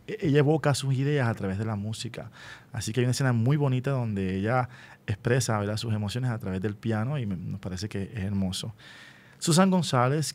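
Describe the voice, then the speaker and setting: A man with a Puerto Rican accent hosting an unscripted radio interview, speaking deliberately and often checking in with short rhetorical questions. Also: on the low side, darkish tone. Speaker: radio host and school educator.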